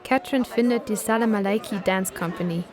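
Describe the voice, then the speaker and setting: A woman reading scripted documentary narration in an even, measured way. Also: medium pitch.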